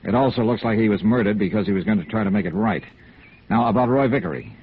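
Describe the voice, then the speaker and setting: An actor playing a shrewd, tough American insurance investigator in a vintage radio noir drama, speaking in a brisk, commanding, clipped way. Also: male voice, on the low side, lively intonation, slightly rough. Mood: firm, probing. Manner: brisk, commanding, clipped hard-boiled delivery. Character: shrewd, tough freelance insurance investigator, narrating his case. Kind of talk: 1950s American radio noir acting, scripted narration. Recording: tinny, noisy vintage radio recording.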